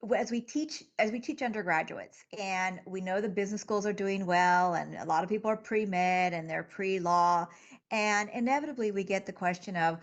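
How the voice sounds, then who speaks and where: A woman's voice: medium pitch, normal speed, highs cut off. A woman guest-hosting an interview show, effusive and full of praise for her guests. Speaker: guest host.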